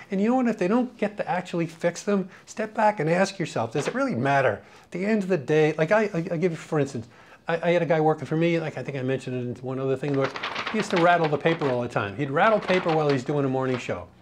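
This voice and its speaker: A man's voice: fairly high pitch, a little mellow. A middle-aged or older man giving an informal, rambling lesson as a radio programming coach, conversational and full of "you know". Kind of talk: informal spoken lesson with anecdotes.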